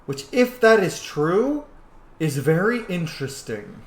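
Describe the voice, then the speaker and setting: A man's voice: sits high, very animated, measured pace, roomy sound. A man giving casual gameplay commentary, musing aloud and explaining as he plays and roleplays. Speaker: host.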